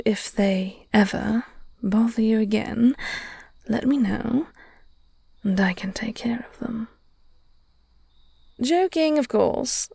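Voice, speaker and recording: A woman playing a flirty, teasing vampire nemesis who secretly cares, in an intimate audio roleplay, speaking softly and half-whispered with wry, elegant phrasing. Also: mid-range voice, no room sound, heavily acted. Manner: breathy, soft, slow, half-whispered delivery.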